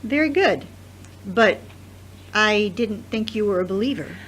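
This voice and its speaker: A woman playing an exacting, probing boss in an audio drama, brisk and formal, with some noise on the recording. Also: medium pitch, lively intonation, close to an everyday voice. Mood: approving, businesslike.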